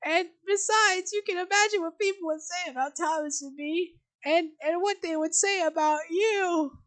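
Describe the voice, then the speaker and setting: A woman voicing a frail, defensive elderly mother in a casual reading of a screenplay, with a high quavering wail. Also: moderate pace, a bit bright. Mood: wistful.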